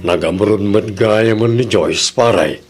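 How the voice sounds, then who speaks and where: A man playing a blunt buddy who teases and admonishes in an audio drama, with a gravelly, husky voice and an Ilocano accent. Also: lowish voice, a little slow, music in the background, lightly acted. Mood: blunt, teasing.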